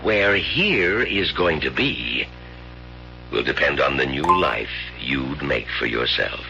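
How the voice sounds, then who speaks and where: Orang asing misterius bersuara sangat rendah. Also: male voice, very deep voice, animated, gravelly, pushed and bright, full character voice. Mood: coolly persuasive. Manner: deliberate, theatrical, insinuating. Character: mysterious, coolly persuasive stranger offering second chances. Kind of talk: old-time radio drama acting. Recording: dull vintage radio recording with music.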